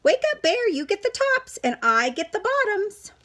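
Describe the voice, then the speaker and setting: A woman's voice: extremely high pitch, sing-song, normal speed, fairly bright tone, pitched above the performer's own voice, exaggerated voice. A woman voicing a clever, eager male hare from a storybook, persuasive and salesman-like. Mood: cheerful, brisk. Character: clever, eager, fast-talking male hare, a smooth dealmaker.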